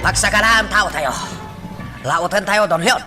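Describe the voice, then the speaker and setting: An actor playing an impatient, hot-tempered gang boss in an Ilocano radio drama. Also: male voice, high voice, normal speed, over music, lightly acted. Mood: agitated, impatient.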